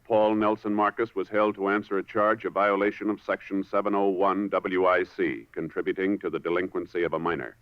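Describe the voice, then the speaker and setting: A male announcer with a lowish voice delivers measured, official narration in 1950s radio style. The recording is muffled, with prominent music behind him. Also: level tone. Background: orchestral music.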